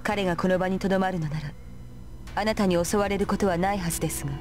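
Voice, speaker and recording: A woman's voice: on the low side, fairly even intonation, very fast, a little mellow, lightly acted. A woman playing a composed, coolly polite servant warrior in a Japanese visual novel, speaking formally. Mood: cool, defiant. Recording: game dialogue over background music.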